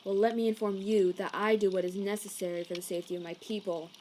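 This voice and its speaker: A woman voicing a haughty, prejudiced male envoy in an animated comic dub, stiff, officious and condescending. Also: mid-range voice, quick pace, a little hiss or hum, natural voice, poor audio. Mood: cold, officious.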